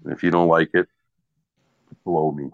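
Deep-voiced, blunt man. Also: little pitch movement, moderate pace, vocal fry. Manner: blunt, grumbling, profane. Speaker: small business owner and online seller. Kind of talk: casual chat. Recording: dull lo-fi sound in echoey room.

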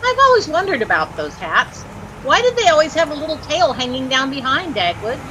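An actress with an American accent playing a chirpy, easily dazzled young housewife who gushes and lightly scolds in a 1940s radio comedy. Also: female voice, sits high, sing-song, brisk. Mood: curious, teasing. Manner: gushing, breathlessly admiring, lightly scolding. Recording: noisy old-time radio recording.

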